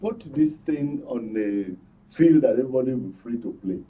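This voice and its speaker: A man with a Nigerian accent giving conversational political commentary as a guest, in a halting way, searching for his words. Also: mid-range voice, animated. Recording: muffled, far from microphone.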